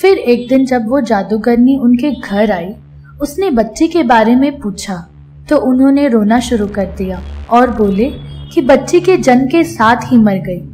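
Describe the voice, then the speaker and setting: A woman narrating a scripted children's fairy tale in a measured storytelling manner. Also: on the high side, expressive, dark tone, soft background music.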